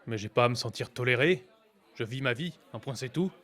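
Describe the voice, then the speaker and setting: A man acts out a haughty rebel chief in an audio drama. The voice is of average pitch. He talks in a casual, colloquial way, always on the defensive. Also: expressive, brisk, a bit bright, lightly acted. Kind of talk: acted dramatic dialogue.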